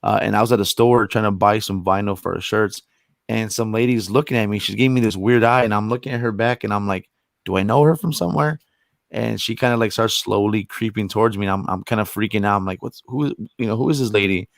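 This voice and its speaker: A Texan man with a low, gravelly voice tells a story in an easygoing way and plays out each line of dialogue himself. He sounds close and dry, with no room around him. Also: fast, no room sound. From San Antonio, Texas. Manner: storytelling, acts out his own quoted lines. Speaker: guest, paranormal investigator. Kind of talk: casual chat.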